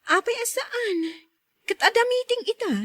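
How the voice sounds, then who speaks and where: A busy young Filipino mother, acted by a woman in a radio drama. She speaks in a high voice, closely recorded and dry, as a career-minded wife. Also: moderate pace, bright, forceful tone, no echo. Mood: hurried.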